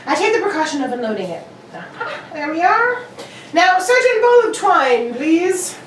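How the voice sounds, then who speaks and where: Woman as male detective, high voice, swooping delivery, probing, courteous, theatrical, radio mystery acting, roomy distant recording. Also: big pitch swings, moderate pace. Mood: brisk, confident. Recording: roomy, distant mic with faint noise.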